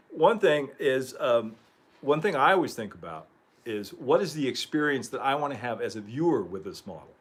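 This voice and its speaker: Man, livestream host, mid-pitched voice, casual rambling chat, chuckles, throat clearing, a bit hoarse. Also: a bit bright. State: losing his voice.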